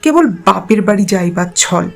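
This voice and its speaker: A woman's voice: mid-range voice, lively intonation, normal speed, vocal fry, darkish tone. A woman playing a spiteful middle-aged mother-in-law in an audio drama, sneering and mocking. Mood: scornful. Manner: scornful, mocking. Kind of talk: audio story acting.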